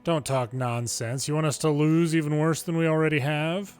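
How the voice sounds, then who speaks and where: A man's voice: on the high side, fairly even intonation, normal speed, darkish tone, clean tone, faint music behind. A man reading video game dialogue aloud dramatically, acting out each character's lines in turn.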